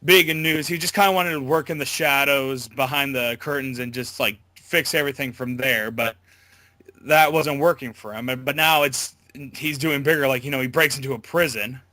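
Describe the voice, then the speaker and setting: A man giving a casual interview as a voice actor, talking in a halting, loosely worded way. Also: medium pitch, sharp tone, dry recording.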